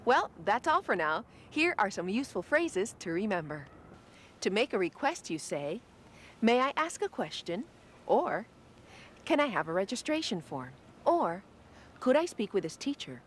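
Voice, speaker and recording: A woman hosting a language lesson in careful, slow, teacherly scripted narration. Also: mid-range voice, very animated, slight background noise. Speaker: lesson host. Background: music.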